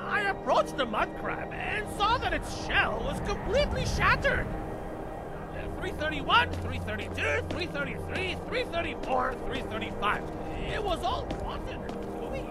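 A talkative little rat creature from a fantasy game, with a very high voice that swings up and down. It rambles through tall tales in an absent-minded, tipsy way, breaking off to count. Loud music plays behind it. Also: big pitch swings, full character voice. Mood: rambling, musing. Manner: rambling storyteller, pausing to count numbers. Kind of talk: scripted comic fantasy game storytelling.

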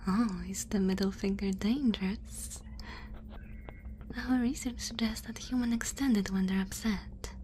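A woman playing a curious, analytical alien girl in a whispered ASMR roleplay, measured and detached. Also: mid-range voice, fairly even intonation, warm tone, a little hiss or hum, natural voice. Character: clinical, curious alien girl studying a human.

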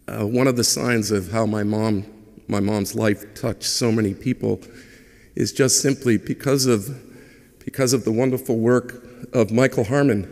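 Gravelly man speaking in a reverberant church. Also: medium pitch, a little mellow. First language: Hungarian. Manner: conversational and humorous, with hesitations. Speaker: son giving a eulogy. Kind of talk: funeral eulogy. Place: large church. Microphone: lectern microphone.

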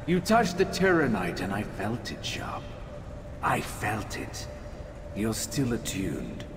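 An actor playing a menacing, possessive sorcerer villain in a video game, gloating and theatrical. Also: male voice, fairly low pitch, wildly swooping pitch, slow, heavily acted. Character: menacing, possessive male sorcerer slave master. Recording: long reverb, music behind.

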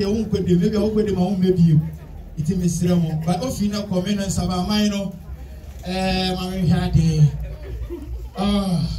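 A man's voice: high voice, warm tone, far from the mic, close to an everyday voice. A young man playing an earnest youth who addresses an outdoor gathering in a live drama. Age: young adult. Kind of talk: live stage drama speech. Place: open palace courtyard, outdoors. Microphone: handheld microphone. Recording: echoey outdoor PA, crowd noise.

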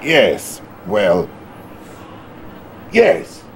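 A man's voice: on the low side, huge pitch swings, very slow, a little hiss or hum, heavily acted. A man playing a jovial, blustering middle-aged Soviet premier with a Russian accent in a campy game cutscene.

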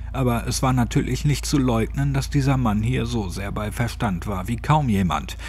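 A man's voice: fairly low pitch, darkish tone. A man reading a scripted horror story as an audiobook narrator.

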